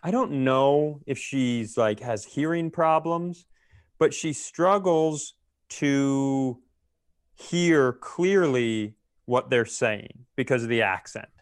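Man, mid-pitched, close dry recording, comedic ranting, exasperated, profane, podcast host. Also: takes it slowly, no echo. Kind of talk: casual comedic rant.

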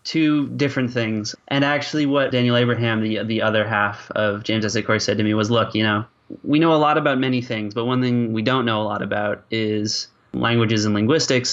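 A man raised speaking French and English, giving interview answers as a linguist. He talks conversationally with many little asides and restarts, in a medium-pitched voice on slightly dull audio. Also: fairly bright tone. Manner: hesitant starts, peppered with "you know" and "like". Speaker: linguist and language creator being interviewed.

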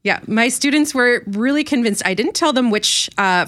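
A woman's voice: fairly high pitch, expressive, moderate pace, fairly bright tone. A young adult woman, a teacher, earnestly explaining things at length as a guest on a radio show. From Ukrainian community in Winnipeg. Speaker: festival ambassador and teacher.

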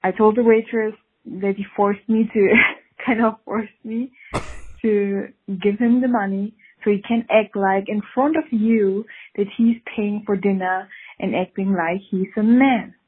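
A woman's voice: mid-range voice, fairly even intonation, a little slow, husky. A woman from Switzerland with a Swiss accent, calling in to tell a dating story candidly and laughing through her embarrassment. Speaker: caller sharing a dating story. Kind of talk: casual recorded confession. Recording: tinny phone-line recording.

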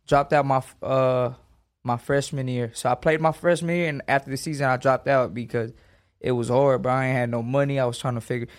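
Young streetwise boxer from Los Angeles. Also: male voice, medium pitch, fairly even intonation, a little fast. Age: 20s. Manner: streetwise slang, emphatic. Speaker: boxer guest. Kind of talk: casual interview.

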